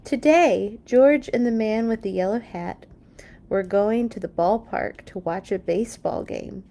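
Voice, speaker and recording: A woman reading a children's story aloud in an expressive, playful way for young listeners. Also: mid-range voice, a little slow. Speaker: children's read-along storyteller. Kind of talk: storybook read-aloud with animated asides.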